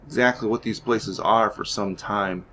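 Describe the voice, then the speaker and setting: A man's voice: on the low side, steady tone, normal speed, fairly bright tone, a little hiss or hum. A man giving casual, rambling game commentary, thinking aloud hesitantly as he plays. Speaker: gaming YouTuber narrating his playthrough.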